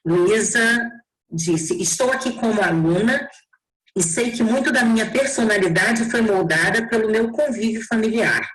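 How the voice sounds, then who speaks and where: A woman's voice: a little high, measured pace, fairly bright tone. A woman moderating an online lecture, reading audience questions aloud carefully over a video call. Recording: echoey room.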